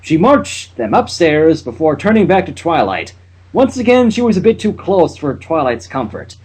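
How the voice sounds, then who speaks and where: A man's voice: on the high side, sing-song, moderate pace, darkish tone, husky. A man hosting a casual fan fiction reading, narrating with chatty, wry asides. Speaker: channel host and reader.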